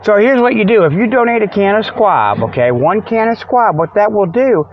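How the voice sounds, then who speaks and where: Folksy guy doing a jokey rambling radio show, kinda high voice, muffled lo-fi sound. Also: big pitch swings, moderate pace, slight background noise. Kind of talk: rambling comedic radio monologue. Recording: muffled, dull lo-fi radio stream.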